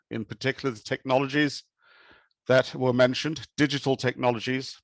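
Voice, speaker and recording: Measured Kenyan-born man giving an academic talk. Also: mid-range voice, no room sound. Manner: measured, thoughtful, with occasional restarts. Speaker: conference speaker.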